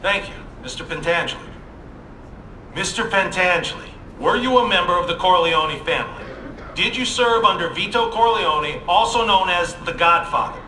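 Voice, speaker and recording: High-pitched, stern senator. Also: male voice, lively intonation, normal speed, sharp tone. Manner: formal, insistent, declamatory. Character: stern, formal senator interrogating a witness. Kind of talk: video game cutscene acting. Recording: echoey hearing room, faint noise.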